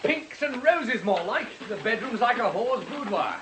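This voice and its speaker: Nosy cheeky british reporter, excitable, bright forceful voice that jumps all over, radio whodunit. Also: male voice, extremely high pitch, unhurried, a little hiss or hum, full character voice. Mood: grumbling. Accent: British English. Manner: excitable, inquisitive, irreverent. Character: eager, cheeky male newspaper reporter tagging along with police. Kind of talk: radio detective drama acting.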